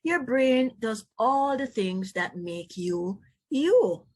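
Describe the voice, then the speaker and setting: A woman reading aloud as a teacher to young children, instructive and expressive, adding explanatory asides. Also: a little high, takes it slowly. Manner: instructive, addresses young listeners directly, expressive emphasis.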